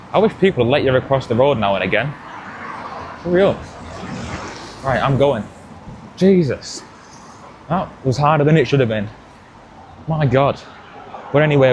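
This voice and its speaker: A man's voice: mid-range voice, background noise. A young man, chatting casually as a fitness vlogger and rambling with frequent self-corrections, talking into a clip-on mic. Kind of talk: casual vlog chat. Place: outdoors by a busy road; cold garage gym, echoey.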